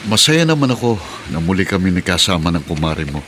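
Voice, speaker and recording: A man's voice: sits low, big pitch swings, a little fast, a bit bright, a little hiss or hum. A man playing a weary but stubborn, forgiving middle-aged pedicab driver in a radio drama, with a Filipino accent. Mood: steadfast.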